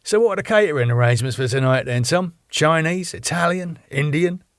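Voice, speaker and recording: A man's voice: fairly high pitch, fairly quick, no room sound, studio quality, pitched above the performer's own voice, natural voice. A man reading the part of a brash, streetwise tour manager in his thirties, chatty and matey. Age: 30s.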